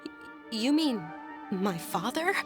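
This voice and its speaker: A woman's voice: on the high side, very animated, slow pace, over music. Polite young noblewoman, earnest. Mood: troubled, doubtful. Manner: composed, courteous, well-spoken. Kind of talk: video game voice acting.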